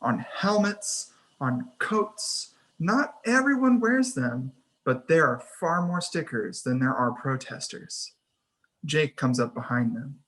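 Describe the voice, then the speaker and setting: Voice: mid-range voice, expressive, slow, a bit bright, low-quality recording. A narrator reading screenplay action lines and scene headings in a scripted table read.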